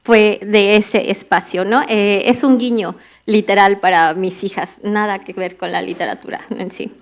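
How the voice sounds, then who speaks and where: A woman's voice: medium pitch, steady tone, moderate pace. A woman, telling a personal story at a literary panel and searching for words. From Mexico. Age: adult mother of two daughters. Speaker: panel writer. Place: library event hall.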